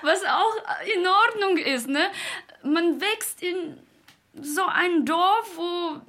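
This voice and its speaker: A woman's voice: extremely high pitch, lively intonation, slow, bright, forceful tone. A woman in her twenties from Uzbekistan, a non-native speaker, retells a story off the cuff, searching for words as she goes. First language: not German. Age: 20s. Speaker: interviewee retelling a film. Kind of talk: unscripted spoken retelling.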